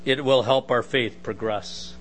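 A middle-aged man with a big booming voice preaching a sermon, emphatic with conversational asides. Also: fairly even intonation, a little slow, a little hiss or hum.

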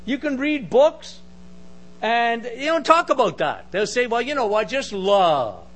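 A middle-aged man with a big booming voice preaching a sermon, emphatic with conversational asides. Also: sing-song, unhurried, fairly bright tone.

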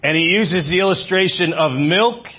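Male preacher, fifties, husky voice, slightly high, conversational sermon, muffled lo-fi recording. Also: normal speed. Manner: conversational, repeats phrases, asks the audience questions. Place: church hall with audience. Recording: dull lo-fi sermon audio.

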